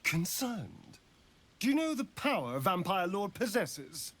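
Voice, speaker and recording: A male actor playing a sardonic, centuries-old vampire spawn in a video game, with an arch, dramatic delivery that swings from mocking to hushed. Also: sits high, very animated, unhurried, full character voice. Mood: grave, alarmed. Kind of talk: video game character dialogue.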